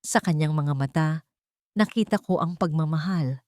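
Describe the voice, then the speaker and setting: A woman's voice: low voice, normal speed, darkish tone, no room sound, studio quality. A woman reading a first-person story as scripted audiobook narration, in an earnest, dramatic storytelling manner.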